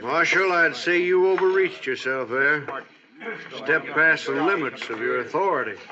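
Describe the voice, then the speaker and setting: An actor playing an indignant American frontier constable in a radio western, officious and full of warning. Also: male voice, a little high, normal speed, lightly acted. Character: indignant, cautioning male frontier town constable. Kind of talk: old-time radio western acting. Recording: dull old radio recording, crowd behind.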